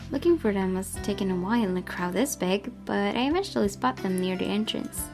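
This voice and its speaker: A woman's voice: mid-range voice, fairly quick. A woman reading a visual novel aloud as first-person narration. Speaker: gaming channel host reading aloud. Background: music.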